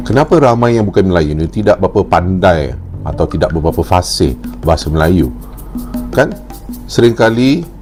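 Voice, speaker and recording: A man from Malaysia explaining a topic in a lively, conversational way that poses rhetorical questions. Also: low-pitched. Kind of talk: explainer video talk. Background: music.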